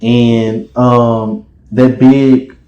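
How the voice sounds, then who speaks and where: Dramatic, expressive man in a roomy space. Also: mid-range voice, very slow, lo-fi sound. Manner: rambling with many fillers and restarts. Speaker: guest. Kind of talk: casual interview chat. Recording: roomy echo.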